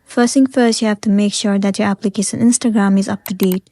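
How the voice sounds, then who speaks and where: Woman, soft dark tone, plain-spoken instructional delivery, tutorial narration, dry close voice-over. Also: medium pitch, steady tone, fairly quick, no echo. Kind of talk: scripted step-by-step tutorial narration.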